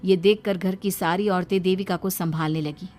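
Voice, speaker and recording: A woman's voice: lowish voice, steady tone, moderate pace, a little mellow, faint music behind. A woman reading a scripted dramatic story narration for a cartoon.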